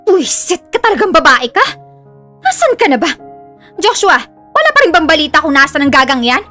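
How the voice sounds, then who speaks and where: A woman voicing a vicious, angry, scheming mother in an audio drama, shrill and menacing. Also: big pitch swings, a little fast, pushed and bright, full character voice. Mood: furious. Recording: music under the voice.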